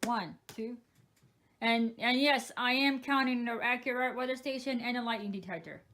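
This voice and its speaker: A chatty young woman with a fairly high voice talks through her collection in a relaxed home vlog. She counts items aloud one by one, easygoing and informal. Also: moderate pace. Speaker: weather radio collector. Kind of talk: casual show-and-tell vlog.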